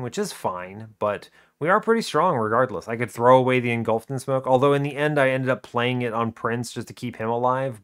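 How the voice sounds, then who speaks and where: A man giving casual, explanatory video game commentary in an informal way, often checking in with the listener. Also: medium pitch. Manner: informal, animated bursts, checks in with rhetorical "right?" and "okay?". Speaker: video game streamer, series host.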